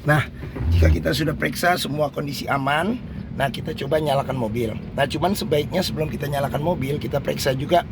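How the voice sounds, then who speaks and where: A hoarse man hosting a used-car walkaround review, talking slowly with many fillers as he moves around and inside a car at a showroom. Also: fairly high pitch, expressive, background noise. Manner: slow, halting delivery with many fillers. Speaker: used-car dealer host.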